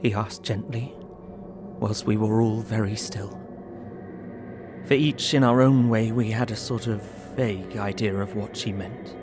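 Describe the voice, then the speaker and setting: An actor playing a grave, troubled young husband, reading out his diary in a measured way over music. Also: lowish voice, steady tone, lightly acted. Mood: pained, hushed. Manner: sombre, measured. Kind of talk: audio drama diary narration. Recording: music under the voice.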